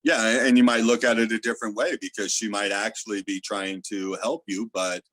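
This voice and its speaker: Guest: man, very low voice, loud and earnest, dry close sound, explains with many fillers. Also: fairly even intonation, a little fast, dry recording. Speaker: theta healer guest. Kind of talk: casual spiritual interview talk.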